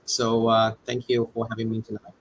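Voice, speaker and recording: A man's voice: fairly low pitch, monotone, moderate pace, low-quality recording. A man giving a prepared introduction of his organization as a company representative. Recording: online call with faint noise.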